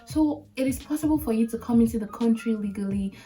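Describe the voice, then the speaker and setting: A woman's voice: a little high, quick pace, warm tone, recorded from a distance, faint music behind. A woman in her late twenties with a Nigerian accent, hosting an advice vlog in an emphatic, direct way that repeats points for stress. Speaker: video host.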